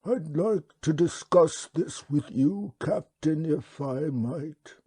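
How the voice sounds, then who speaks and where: A man voicing a ponderous, courteous old alien creature that talks slowly and formally. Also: fairly high pitch, mellow, slightly rough, pitched above the performer's own voice. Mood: calm, polite. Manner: slow, courteous, drawn-out speech. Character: ponderous, polite, ancient pig-like alien creature.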